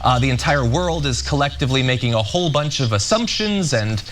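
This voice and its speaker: A man hosting a political talk show, explaining a news story in a measured, explanatory way. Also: mid-range voice, animated, a bit bright, slight background noise. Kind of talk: news commentary.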